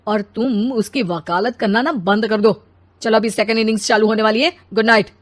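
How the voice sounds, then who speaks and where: A woman's voice: on the high side, steady tone, normal speed, natural voice. A woman voicing a grumpy, lazy, self-important husband and father who complains and makes demands in a story. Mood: grumbling.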